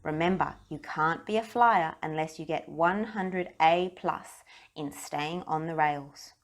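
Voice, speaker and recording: A woman's voice: deep voice, unhurried. Woman reading as a kindly old engineer, encouraging. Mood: instructive. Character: kindly old male engineer and school head.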